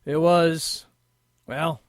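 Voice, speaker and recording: An actor playing a skeptical, by-the-book police lieutenant in an audio drama, with a gruff, gravelly voice. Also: male voice, fairly high pitch, little pitch movement, extremely slow, no room sound, studio quality. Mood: uneasy, defensive.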